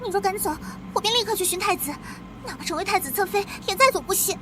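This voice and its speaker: A woman's voice: very high-pitched, noisy background. A woman voicing a teasing, stubborn young princess. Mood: defiant. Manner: playful, defiant, quick retorts. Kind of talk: animated drama dubbing.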